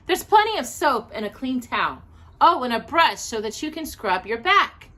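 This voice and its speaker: A woman reader plays a helpful young storybook girl. She chatters eagerly in a high, bright voice with lively, reassuring intonation. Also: moderate pace. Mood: friendly.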